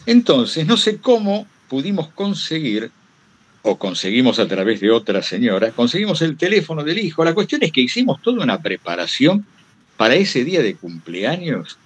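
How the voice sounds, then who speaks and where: A man's voice: mid-range voice, breathy edge. A man with a Buenos Aires Argentine accent telling anecdotes at a public talk, digressing and correcting himself as he goes. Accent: Buenos Aires Argentine Spanish. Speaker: interview guest, radio host.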